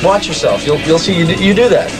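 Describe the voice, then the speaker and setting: A man's voice: on the high side, expressive, fast talker. Teasing man talking over music. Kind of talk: casual joking remarks.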